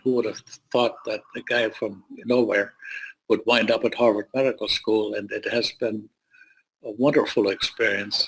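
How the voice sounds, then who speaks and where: A man's voice: fairly low pitch, fairly bright tone, breathy edge, poor audio. A man in his seventies or older, a retired surgeon who grew up in the United States, telling personal stories as an interview guest in a wry, plain-spoken way. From born in Poland, grew up in the United States. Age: 70s or older. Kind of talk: autobiographical storytelling in an interview.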